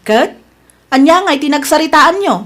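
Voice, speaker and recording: Very high, whining, flustered gossip played by an actress. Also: female voice, lively intonation, moderate pace, a bit bright. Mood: anxious, whining. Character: whining, meddlesome gossip, a grown daughter. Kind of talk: Ilocano radio comedy drama acting.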